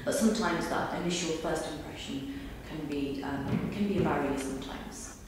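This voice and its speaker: A woman in her thirties, raised in the UK with Punjabi as her first language, answers questions in a thoughtful way, often pausing with ums. Her voice sits a little low for a woman. It is picked up from across a very echoey room with a faint hiss behind. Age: 30s. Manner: thoughtful, with frequent ums and restarts. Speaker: interviewee. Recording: echoey room recorded from a distance.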